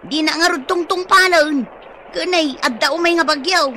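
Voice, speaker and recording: A woman's voice: very high voice, normal speed, crowd noise. A woman acting a nagging, worried village wife and mother in an Ilocano radio comedy-drama, scolding in an exasperated way with an Ilocano accent.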